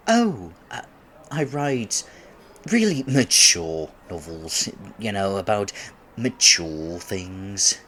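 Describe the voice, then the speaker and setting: A man's voice: fairly low pitch, very animated, slow, fairly bright tone, a little hiss or hum, full character voice. A man in his twenties playing a nervous, polite young silver fox kitsune who wants to seem mature, in an ASMR date roleplay, speaking formally with stammers. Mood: relieved, showing off. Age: 20s. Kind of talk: ASMR roleplay, scripted one-sided date conversation.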